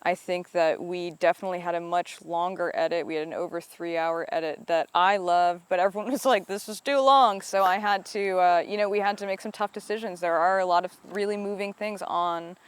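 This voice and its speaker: Woman film editor talking shop, smooth clear voice, dry close sound, lots of "i think". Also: fairly low pitch, steady tone, brisk, few pauses, no echo. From upstate New York. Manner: thoughtful, hedging with "I think" and "you know". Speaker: documentary director and editor. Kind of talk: reflective interview answers.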